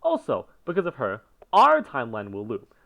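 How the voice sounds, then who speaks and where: A woman's voice: a bass voice, wildly swooping pitch, moderate pace, creaky, narrow, tinny audio, crowd noise, exaggerated voice. A woman voicing a brainy, exasperated cartoon pony with a dry, explanatory manner. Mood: urgent. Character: brainy, exasperated female pony, dryly sarcastic. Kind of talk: cartoon parody voice acting.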